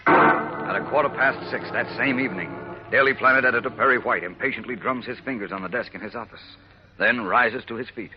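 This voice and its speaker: A man's voice: medium pitch, brisk. An American man narrating a 1940s radio serial in a dramatic, suspenseful, emphatic way. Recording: muffled old radio, loud music.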